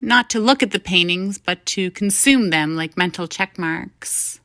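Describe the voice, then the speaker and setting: A woman's voice: on the low side, unhurried, bright, forceful tone, no echo, studio quality. A woman reading a first-person short story as scripted narration. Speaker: story reader.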